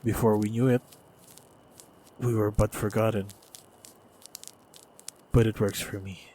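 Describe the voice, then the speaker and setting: A man playing a wounded, stoic monster hunter in a fantasy audio roleplay, speaking in a measured, halting, guarded way. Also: lowish voice, fairly even intonation, dark tone, a little hiss or hum, natural voice. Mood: calm, stoic. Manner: measured, halting, guarded delivery with heavy sighs. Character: wounded, battle-hardened lone male monster hunter, wary, stoic. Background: faint ambient sound effects.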